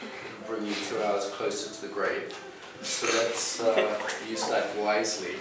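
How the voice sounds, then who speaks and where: A man's voice: lowish voice, little pitch movement, a little slow. Joking British man in an echoey room. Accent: British English. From England. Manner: joking, direct, emphatic. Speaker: workshop leader. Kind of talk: lively workshop talk with guided exercises. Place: small cafe room, indoors, live audience. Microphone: far camera. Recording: echoey room from far off, noisy.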